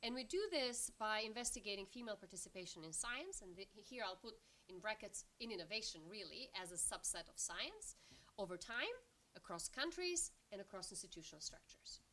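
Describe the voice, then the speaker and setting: A woman's voice: a little high, pushed and bright. A woman from Albania giving an academic conference presentation as a researcher, explaining step by step.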